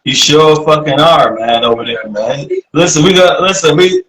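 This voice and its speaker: A man's voice: a little high, normal speed, a bit bright, echoey room, poor audio. A man hosting a podcast, talking casually and easygoing, often repeating himself. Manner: easygoing, repeats "listen" and "you know what I mean". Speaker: podcast host.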